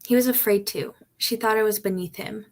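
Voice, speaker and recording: A woman's voice: mid-range voice, brisk, creaky. A woman playing a forlorn, defensive young servant girl in a radio drama, with a plaintive manner. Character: Mary, forlorn, defensive young village maid.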